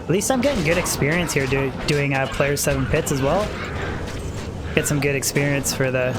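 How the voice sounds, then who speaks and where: Guy streaming a game, kinda high voice getting worn out, rambly and slangy, noisy background. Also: moderate pace. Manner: rambling, slangy, self-deprecating. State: voice tiring. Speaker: game livestreamer. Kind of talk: casual livestream chat and game commentary.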